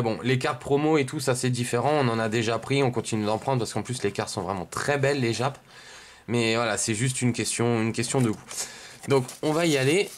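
A man from giving casual, hesitant, rambling unboxing commentary indoors at a table. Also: mid-range voice. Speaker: video host, card collector.

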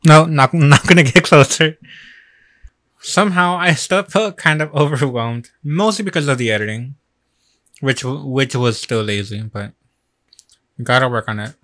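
A young man casually commenting while practicing a language lesson in a small room, slow and self-deprecating. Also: mid-range voice, a bit bright. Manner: slow, self-deprecating, repeats words aloud while learning. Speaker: vlogger. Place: small room indoors, bedroom.

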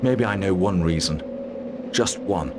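Slow, low-voiced, grim war commander. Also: male voice, noisy background. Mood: brooding. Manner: terse, grim, forceful. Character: stern, fearless battle-hardened mercenary commander. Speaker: army commander. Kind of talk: fantasy video game cutscene acting.

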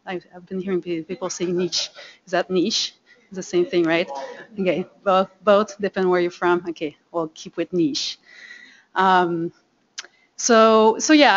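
A woman's voice: fairly low pitch, lo-fi sound. A woman from Quebec with a French accent, giving a chatty, self-deprecating talk at a farming conference, full of ums and restarts. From Quebec, Canada. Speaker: conference speaker, seed farmer. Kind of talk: live conference presentation. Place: conference room.

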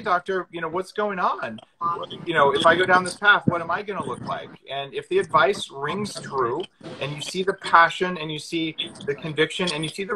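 A man's voice: high voice, low-quality recording. A man in his forties hosting a casual live video chat from his kitchen, talking enthusiastically into a phone held at arm's length. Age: 40s. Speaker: host. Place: indoor kitchen with high ceiling. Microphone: phone front camera at arm's length.

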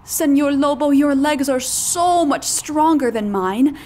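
Clever puppet ewe, played by a woman: very high voice, meek pleading, melodramatic, for children's theatre. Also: lively intonation, slow, darkish tone. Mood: coaxing. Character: clever female sheep feigning meek helplessness. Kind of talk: children's puppet show character acting.

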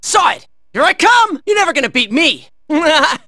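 Cocky american teen boy fighter, super high voice, bright and forceful, yelling game battle lines. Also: very animated, moderate pace, no echo, clean studio sound, full character voice. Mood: fired-up, cocky. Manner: exclamatory, brash, high-strung. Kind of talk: video game battle barks.

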